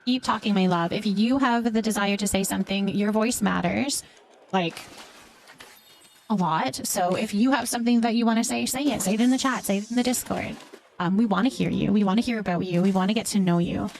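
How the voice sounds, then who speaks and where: A woman's voice: medium pitch, brisk, creaky, a little mellow, a little hiss or hum. A Canadian woman hosting a casual livestream, reading out and answering comments in an affectionate, encouraging way. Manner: affectionate, encouraging, addresses listeners with endearments. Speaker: livestream host.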